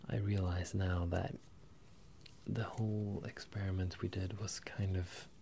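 A man in his twenties softly whispering and murmuring a halting ASMR roleplay as an apologetic wizard professor, close to the microphones. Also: level tone, a little hiss or hum. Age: 20s. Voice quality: soft, breathy whispering and low murmuring. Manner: hesitant, halting, in-character delivery as a wizard professor. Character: bemused, apologetic wizard professor addressing a student. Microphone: close stereo microphones, ear to ear.

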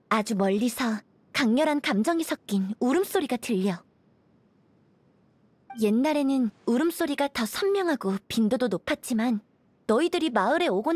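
A guarded young woman from a game's desert village. Her voice is high with a husky edge, melancholy and subdued. It is recorded close and dry over faint music. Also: darkish tone, no room sound. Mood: serious, hushed. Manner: earnest, subdued, confiding. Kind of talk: video game character dialogue.

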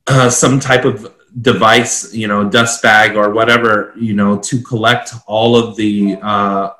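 A man's voice: fairly low pitch, flat delivery, measured pace, sharp tone. A man around his forties explaining standards informally as a consultant on a video call. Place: home office, indoors, on a video call. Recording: roomy, echoing video-call audio.

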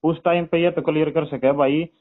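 A man's voice: a little high, steady tone, normal speed. A young man with a Haryanvi accent, arguing on a recorded phone call and pressing his point with questions. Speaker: bride's brother in a family dispute. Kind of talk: argumentative phone conversation. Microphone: telephone.

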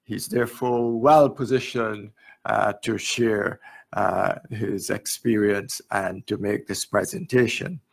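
Slow gravelly older man introducing a speaker. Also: mid-range voice. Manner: measured, hesitant with fillers. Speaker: event chair. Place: hotel conference room. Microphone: podium microphone.

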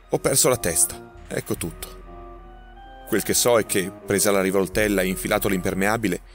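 A man's voice: fairly low pitch, voice pushed up, close to an everyday voice. A man voicing a frightened young man accused of murder, his words tumbling out in agitation. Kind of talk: audiobook character voicing.

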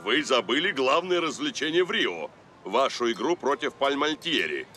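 A man's voice: mid-range voice, lively intonation, moderate pace, pushed and bright, faint music behind. A man voicing an earnest, driven football team leader in a cartoon. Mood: serious, motivating. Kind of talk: animated cartoon dubbing.

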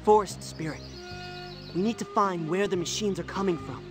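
An actor playing an earnest, reverent young earthbender with formal speech in an animated game. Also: medium pitch, moderate pace, music in the background, lightly acted. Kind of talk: animated game cutscene acting.

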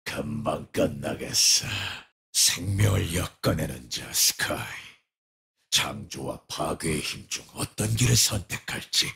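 An actor playing a menacing, tormented shadow wraith in a video game, with a gravelly, breathy rasp and grave, terse delivery. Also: male voice, very deep voice, measured pace, pushed and bright, full character voice. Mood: brooding, ominous. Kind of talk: video game character voice lines.

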